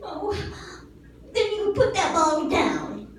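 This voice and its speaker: A woman's voice: very high voice, expressive, slow, exaggerated voice. A woman playing an inquisitive, mischievous little girl in an old-time radio comedy, with a childlike, hesitant, innocent delivery. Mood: innocently nosy. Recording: echoey room, distant mic, noisy.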